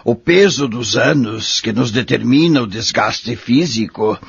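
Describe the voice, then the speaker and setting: A Brazilian man playing a wise, patient old man who gently admonishes his friend in an audio drama. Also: mid-range voice, lively intonation, unhurried, creaky, breathy edge, dry recording, heavily acted. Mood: philosophical. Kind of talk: audio drama dialogue, measured moralizing. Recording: muffled recording with faint noise.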